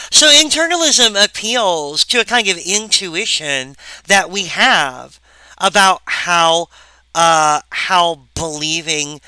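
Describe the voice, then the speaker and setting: A woman giving a recorded philosophy lecture, halting and full of wry asides to the viewer. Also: lowish voice, bright, forceful tone, clean tone, dry recording, low-quality recording.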